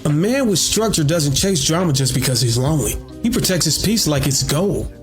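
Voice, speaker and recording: A man's voice: on the high side, animated, a little fast, soft background music. A man delivering a scripted monologue on dating in an emphatic way, repeating key lines for weight.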